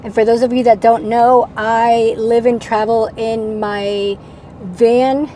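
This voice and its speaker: A middle-aged woman from Venezuela, a native Spanish speaker, answering viewer questions in a casual, measured vlog style. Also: a little high. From Venezuela, moved to the United States at ten. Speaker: vlogger, channel host. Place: parked camper van cab. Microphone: lapel mic. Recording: steady background noise inside a vehicle.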